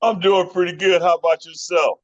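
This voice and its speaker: A man's voice: high-pitched, fairly even intonation, a little fast, highs cut off. Chatty middle-aged man on a call. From Chicago North Side. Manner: friendly storyteller, many fillers. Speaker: guest. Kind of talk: casual phone call chat.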